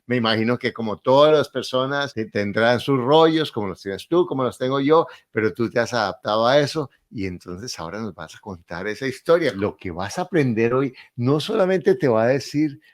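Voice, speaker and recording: A man hosts the interview at a medium pitch. He talks emphatically and in an animated way. He acts out the voices of the people he quotes. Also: a little fast, hardly any pauses. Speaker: podcast host and real estate mentor. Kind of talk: lively interview chat.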